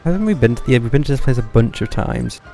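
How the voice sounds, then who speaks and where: A man's voice: medium pitch, expressive, fast talker, mellow, faint music behind. A man giving casual live gameplay commentary as a streamer, musing offhandedly to himself. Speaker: game streamer.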